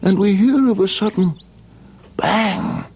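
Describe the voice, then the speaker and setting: An actor playing a slow, excitable old monastery brother, stammering and halting in old-time radio drama style. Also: male voice, high voice. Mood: halting, recounting. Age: older. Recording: dull, noisy vintage radio recording.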